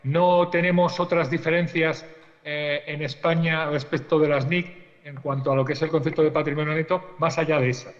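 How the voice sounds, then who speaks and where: A man from Spain gives a detailed technical answer on a conference panel, with a slightly high voice. He explains step by step and keeps checking that his listeners follow him. The sound is muffled and rings in a large hall. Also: level tone, normal speed. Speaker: public accounting official.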